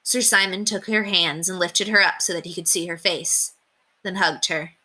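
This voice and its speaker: A woman reading a children's story aloud as an expressive storyteller. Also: lowish voice, moderate pace, slightly rough.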